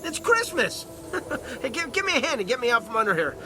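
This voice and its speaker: A man's voice: very high voice, very animated, brisk, background noise, exaggerated voice. An actor playing an easygoing, whimsical, joking man with a breezy, playful delivery. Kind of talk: acted audio drama dialogue.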